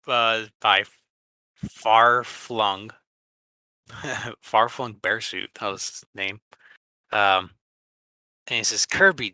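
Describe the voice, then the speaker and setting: A man co-hosting a podcast, chatting casually in a halting, easily amused way. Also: mid-range voice, pushed and bright, dry recording, poor audio. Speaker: podcast co-host. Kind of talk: casual chat.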